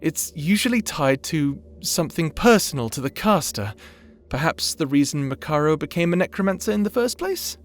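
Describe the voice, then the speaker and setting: A man's voice: a little high, very animated, moderate pace. A man voicing a nervous, bookish young mage who stammers and speaks with hesitant earnestness in a fantasy audio drama. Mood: explaining, hesitant. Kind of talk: fantasy audio roleplay acting.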